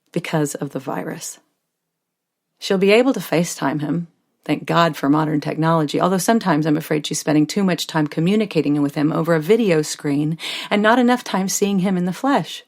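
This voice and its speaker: An actress playing a tired, loving young mother who tells her story with intimate, wry warmth in a scripted monologue. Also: fairly low pitch, vocal fry.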